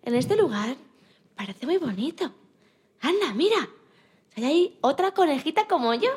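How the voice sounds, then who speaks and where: A woman's voice: high voice, big pitch swings, moderate pace, darkish tone. A woman playing a small, timid, trusting young female rabbit in a childlike, plaintive way for a children's radio story. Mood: timid wonder. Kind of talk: amateur radio-play acting of a children's story.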